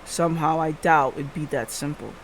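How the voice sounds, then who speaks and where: A woman voicing a tired, skeptical senior police boss in a visual novel, curt, gruff and grumbling. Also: low voice, moderate pace, voice pushed down, rough recording. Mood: dismissive.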